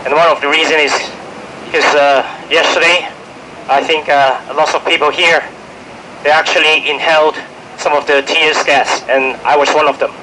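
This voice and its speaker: Sick Hong Kong man, hoarse, urgent press statement, faint street hum. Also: a little high, fairly even intonation, moderate pace. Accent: Hong Kong English. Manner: urgent, pleading, many fillers and restarts. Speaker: finance worker speaking at a press conference. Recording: outdoor press event with faint street noise.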